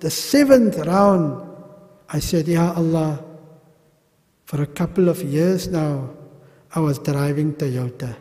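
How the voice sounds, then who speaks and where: A middle-aged South African man teaching a pilgrimage class at a mosque podium, animated and gesturing, with humorous asides. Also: a little high, takes it slowly, long pauses. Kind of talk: religious class lecture. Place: large mosque hall. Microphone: gooseneck podium mic. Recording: long reverb of a mosque hall.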